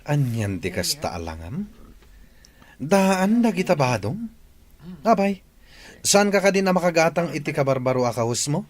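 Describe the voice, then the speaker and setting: A man's voice: on the high side, very animated, moderate pace, long pauses. A man playing a spoiled, scornful young man who taunts others in a radio comedy drama. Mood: mocking. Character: spoiled, mocking young man who belittles his jobless neighbor.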